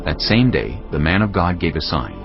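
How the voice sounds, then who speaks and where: Very deep male Bible narrator. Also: big pitch swings, moderate pace. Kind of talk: dramatised scripted Bible narration. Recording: muffled audio under prominent music.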